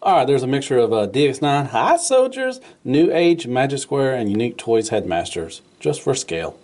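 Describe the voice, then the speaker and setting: A man's voice: mid-range voice, big pitch swings, moderate pace, darkish tone. A man giving a casual, hands-on toy review in an informal, folksy way, repeating his phrases.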